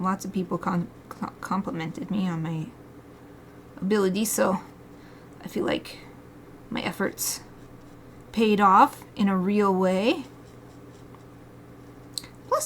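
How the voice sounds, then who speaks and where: A woman's voice: mid-range voice, a bit bright, a little hiss or hum. Giggly, chatty woman drawing on stream. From United States. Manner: chatty, giggly, talks to herself and reads chat aloud. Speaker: artist streaming live drawing. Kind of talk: casual chat while drawing live.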